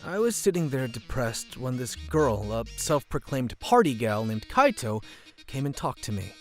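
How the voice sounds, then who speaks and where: Manga dub lead: man voices a shy, earnest high school geek with a lively pitch range, over light music. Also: normal speed, close to an everyday voice. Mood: glum, reflective. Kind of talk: manga dub narration and dialogue.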